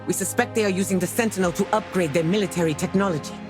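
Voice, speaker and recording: An actress playing a loyal, diplomatic scout commander in a video game, composed, formal and measured. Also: female voice, lowish voice, over music, close to an everyday voice. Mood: composed, briefing. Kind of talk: dramatic video game acting.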